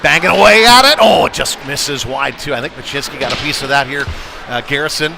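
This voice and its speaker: A man's voice: on the high side, a little fast, creaky, a crowd in the background. A man calling a live ice hockey game in an informal, conversational play-by-play style. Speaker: play-by-play sports commentator. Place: sports rink during a live game. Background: loud arena music.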